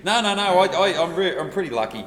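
A man's voice: high-pitched, lively intonation, quick pace, a bit bright. A middle-aged Australian man chatting casually as a guest, in a rambling, jokey, self-deprecating way. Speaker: guest, whiskey brand ambassador. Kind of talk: casual chat. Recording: roomy reverberant space with faint noise.

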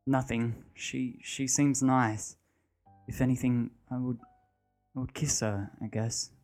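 Male narrator as an uneasy man, quiet, hesitant, halting, horror audio fiction. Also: mid-range voice, level tone, faint music behind, lightly acted. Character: quiet, uneasy man answering the voice in his head.

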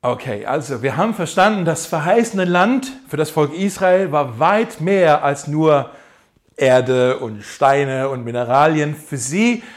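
A man preaching a sermon, emphatic and direct, slowing down to repeat key phrases. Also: fairly high pitch, expressive. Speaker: pastor.

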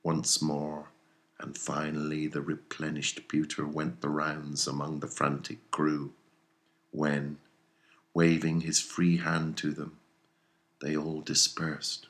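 Male audiobook narrator for classic fiction: extremely low register, crisp forceful edge, dramatic literary delivery. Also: monotone, slow pace.

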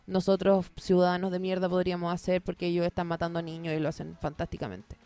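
A woman's voice: fairly low pitch, level tone, fast, warm tone, clear, resonant tone, no echo, faint music behind. A woman with a Chilean accent co-hosting a casual film chat podcast at home, sarcastic and teasing. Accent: Chilean Spanish. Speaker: podcast co-host. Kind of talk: casual chat about films.

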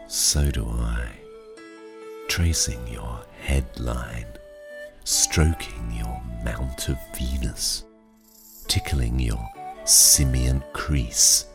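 A man voicing a seductive palm reader in a comic character demo, murmuring slowly, intimately and breathily. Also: a bass voice, steady tone, gravelly, over music, exaggerated voice.

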